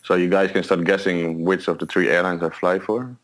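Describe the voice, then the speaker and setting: Man, lowish voice. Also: fairly quick, low-quality recording. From Netherlands. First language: Dutch. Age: late 20s. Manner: relaxed, explains step by step with self-corrections. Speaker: airline pilot guest. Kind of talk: casual interview.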